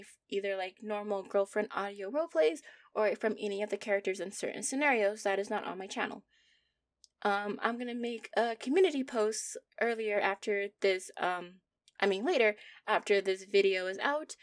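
A woman giving a casual channel announcement in a hesitant, rambling, informal way. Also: a little high. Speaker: channel creator, voice performer.

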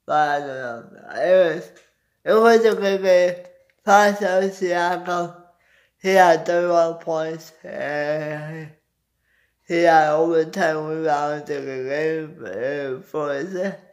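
A young man giving a casual sports recap to his phone at home, halting as he searches for words. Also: a little high, fairly even intonation. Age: young adult. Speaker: sports vlogger. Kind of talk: casual postgame basketball recap. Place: small indoor room at home. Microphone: phone at arm's length.

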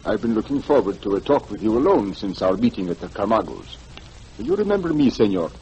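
An actor playing a polished, formal, quietly menacing male lawyer in a 1940s radio drama. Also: lowish voice, very animated, a little fast, breathy edge, full character voice. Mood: courtly. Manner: formal, courtly. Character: polished, distinguished South American lawyer uncle, quietly menacing. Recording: dull, noisy 1940s radio recording.

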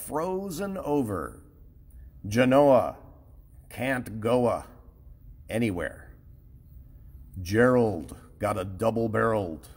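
A man's voice: mid-range voice, darkish tone, husky, in a large hall. A man, a TV chief meteorologist with a Midwestern American accent, playfully reciting rhyming weather notes in a sing-song way.

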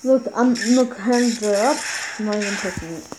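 A woman's voice: mid-range voice, moderate pace, background noise. A woman talking casually over mobile gameplay as a YouTube gamer, stumbling and repeating words with many fillers, recorded on a phone. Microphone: phone screen recorder mic.

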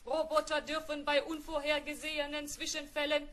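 A defiant robot from an old space radio play. It speaks very high, sharp and unvarying in tone, precise and coolly insolent. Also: female voice, completely flat delivery, a little fast. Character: willful, defiant robot R7 talking back to its pilot. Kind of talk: vintage German sci-fi radio play acting.

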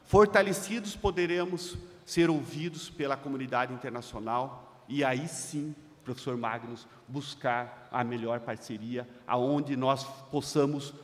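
A middle-aged man from Brazil delivers a prepared address at a panel table, speaking into a desk microphone. He leans into key phrases, growing louder and slowing to stress his points. His voice carries a long hall reverb from the large conference room. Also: medium pitch. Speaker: seminar speaker. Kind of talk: formal conference speech read from notes. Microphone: desk microphone on a stand.

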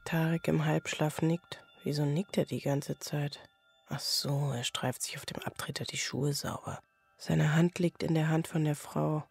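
A woman's voice: deep voice, steady tone, unhurried, vocal fry, a little mellow, breathy edge, full character voice. An actress playing an anxious, overwrought mother of a small son in a radio drama, brooding and intimate. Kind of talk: German radio drama monologue. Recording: music under the voice.

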